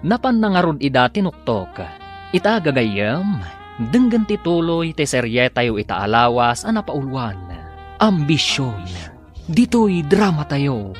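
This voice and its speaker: A man's voice: on the high side, big pitch swings, measured pace, faint music behind. A man narrating and announcing a radio serial in a dramatic scripted style.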